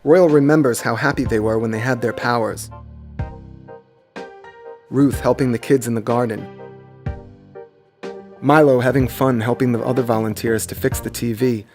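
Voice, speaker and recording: A man's voice: medium pitch, fairly even intonation, moderate pace, pauses often, darkish tone. A man reading a scripted children's story as its narrator. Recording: music behind the voice. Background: background music.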